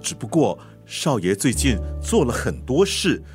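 A nervous, deferential old driver. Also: male voice, mid-range voice, big pitch swings, unhurried. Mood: apologetic. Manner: hesitant, stammering, apologetic. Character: nervous, deferential older family driver, loyal servant. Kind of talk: Mandarin radio drama acting. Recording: guitar music behind dialogue.

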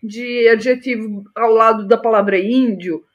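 Brazilian woman cohost, high voice, sarcastic quick jabs. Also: steady tone, highs cut off. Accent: Brazilian Portuguese. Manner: sarcastic interjections, quick jokey reactions. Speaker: podcast co-host. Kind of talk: casual chat.

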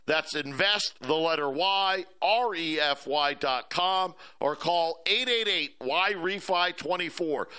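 A man reads a radio advertisement in a persuasive, pitching tone. His voice sits high and sounds bright and forward. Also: smooth. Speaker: advertisement reader. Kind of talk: read ad.

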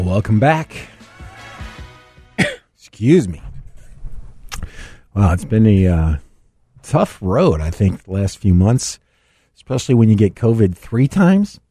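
A middle-aged man hosting a live call-in radio show from a studio, his voice slightly raspy and congested, talking in a rambling way with many fillers. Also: fairly low pitch, very animated, slow pace, pauses often, dark tone, dry recording, faint music behind. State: raspy, a bit congested after illness. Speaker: radio show host, financial advisor.

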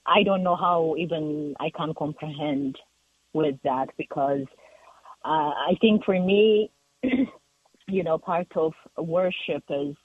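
A man's voice: on the high side, takes it slowly, husky, no room sound. A man from Ethiopia with an Ethiopian accent, calling in to a radio talk show and speaking hesitantly with many fillers. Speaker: caller. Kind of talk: casual call-in chat. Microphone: telephone. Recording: tinny phone line.

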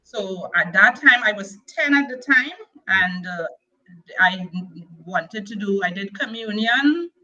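A woman from Tobago with a Tobagonian accent tells her life story as an interview guest. Her voice is very bright and forward. She speaks gently, pausing often with fillers and restarting her sentences, and the recording sounds a little dull. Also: medium pitch, lively intonation. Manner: soft-spoken, hesitant with many fillers and restarts. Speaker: guest sharing her testimony. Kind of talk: personal testimony interview.

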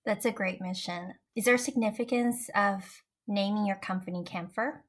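A woman's voice: medium pitch, moderate pace. A woman hosting an interview, introducing her guest and asking questions in an upbeat, enthusiastic way. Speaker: host.

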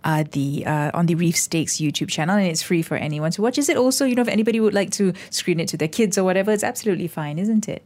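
Fast-talking female interview host. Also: on the low side, animated, darkish tone. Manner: encouraging, backchannels often. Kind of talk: interview hosting.

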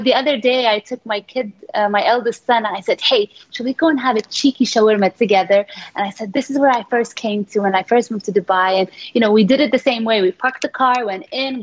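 A woman's voice: a little high, dull audio. A woman born in England, a radio guest, chatting casually and telling stories with many fillers and restarts.

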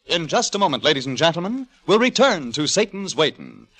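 A man's voice: a little high, very animated, a little fast, over loud music. A man announcing a 1950s radio commercial with an emphatic, polished salesman's delivery. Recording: 1950 radio broadcast.

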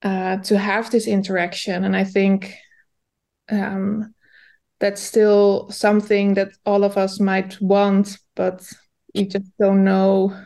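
A woman's voice: medium pitch, completely flat delivery, takes it slowly, warm tone. A woman co-hosting a podcast, talking in a thoughtful, hesitant way with fillers. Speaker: podcast co-host. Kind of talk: casual podcast chat.

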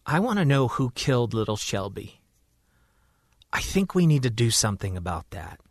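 A man voicing a caring, earnest woman doctor in a gentle, reassuring way for an audio story. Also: medium pitch, big pitch swings, moderate pace, dry recording, clean studio sound. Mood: determined.

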